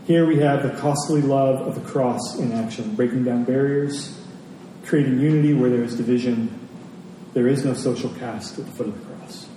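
A man preaching a sermon as a pastor, earnest and emphatic, pausing and repeating phrases for weight. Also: steady tone, mellow. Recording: echoey hall, distant mic, faint rumbling noise.

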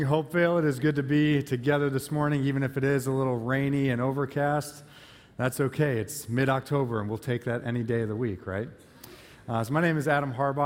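A man, an outreach pastor, giving casual church announcements with frequent pauses and fillers. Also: medium pitch. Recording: long reverb of a church hall.

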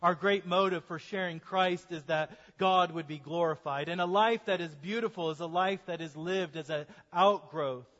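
A high-voiced male pastor delivers an earnest sermon and draws out his key phrases. His voice carries a long reverb from the church sanctuary, and the recording sounds dull and muffled. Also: fairly bright tone. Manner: earnest preaching, slows for emphasis.

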